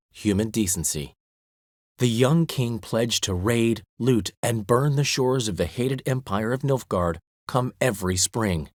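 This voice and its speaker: An actor playing a vain, witty middle-aged bard in a video game, speaking theatrically with playful asides. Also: male voice, mid-range voice, a little mellow, no echo, studio quality. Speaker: game character and epilogue narrator.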